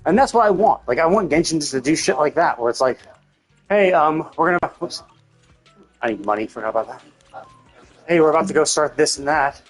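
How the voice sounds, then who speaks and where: A man's voice: fairly high pitch, lively intonation, brisk, pauses often. A man streaming a game, giving casual, rambling commentary as he thinks aloud and swears freely. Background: game music.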